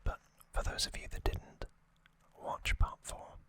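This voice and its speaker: An ASMR host whispering a recap in a breathy, unvoiced voice, hushed and pausing between short phrases.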